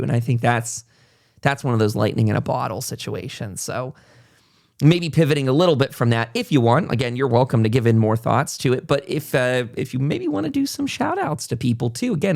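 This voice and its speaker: A man's voice: mid-range voice, creaky, a little mellow. A man hosting a podcast, talking casually in a rambling, reassuring and self-deprecating way. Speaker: podcast host. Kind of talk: casual conversational interview talk.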